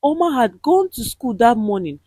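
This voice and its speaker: A woman's voice: on the high side, very animated, normal speed, warm tone, husky, no room sound, clean studio sound. A woman narrating a scripted story in a dramatic, suspenseful and emotive way.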